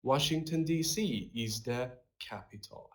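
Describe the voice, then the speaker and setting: A young man teaches an English lesson over a webcam, taking his time over every word. His pitch sits in a middle range, and he stops to explain simple vocabulary before reading the workbook dialogues aloud in a small room. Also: a little slow, a bit bright. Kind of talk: recorded online lesson walking through a workbook.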